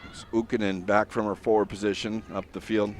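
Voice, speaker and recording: Low-voiced man calling a soccer match. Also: a little fast. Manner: steady, filler-heavy play-by-play with frequent "uh". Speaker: play-by-play commentator. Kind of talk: live soccer commentary.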